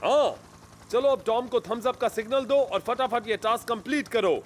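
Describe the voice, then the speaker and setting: A man voicing a steady, responsible firefighter in a dubbed children's cartoon, patient and reassuring. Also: very high voice, normal speed, slight background noise. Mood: encouraging.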